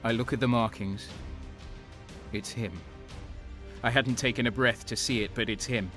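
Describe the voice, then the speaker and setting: An actor playing a grim, battle-worn traitor soldier, narrating in terse, intense first person for an audio drama. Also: male voice, mid-range voice, steady tone, normal speed, fairly bright tone, soft background music, lightly acted. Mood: grim resolve.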